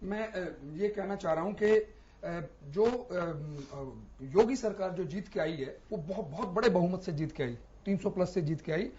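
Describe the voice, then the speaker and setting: A man's voice: sits high, measured pace, darkish tone, a little hiss or hum. A man arguing as a TV debate panelist, point by point, challenging with yes-or-no questions. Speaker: debate panelist, political commentator. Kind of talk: argumentative TV debate talk. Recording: dull, band-limited broadcast audio.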